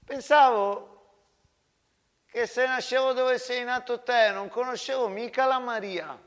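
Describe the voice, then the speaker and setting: A man acting a timid, lovesick young soldier on stage, earnest and halting. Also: extremely high pitch, fairly bright tone, voice pushed up. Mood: wistful. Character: timid, lovesick young Italian soldier dictating a love letter. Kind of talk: theatrical monologue acting. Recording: reverberant hall with music.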